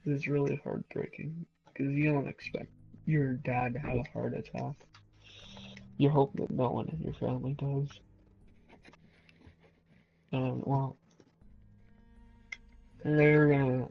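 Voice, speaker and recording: A man's voice: mid-range voice, vocal fry, a little mellow, husky, lo-fi sound. A man of about twenty telling personal stories in a casual, rambling, sweary way while gaming. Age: around 20. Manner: sweary, digressive. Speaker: gaming streamer.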